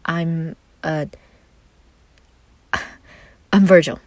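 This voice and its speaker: Lanky bashful emo guy for an audio story, played by a woman: halting, self-deprecating, awkward delivery, very dry sound. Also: low voice, fairly even intonation, darkish tone, no echo. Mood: shy. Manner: hesitant, stammering, self-deprecating. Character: very tall, awkward, shy emo young man. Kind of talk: fan fiction audio story acting.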